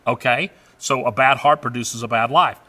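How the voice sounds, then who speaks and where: A middle-aged man with a Southern US accent, preaching a conversational sermon with loud emphatic peaks and quiet asides. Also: medium pitch, steady tone, moderate pace. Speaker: pastor.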